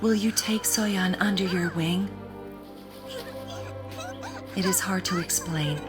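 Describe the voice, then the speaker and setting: A woman voicing a gentle, solemn game character who pleads in formal, measured, earnest words. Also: lowish voice. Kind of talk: video game voice acting. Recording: music under the voice.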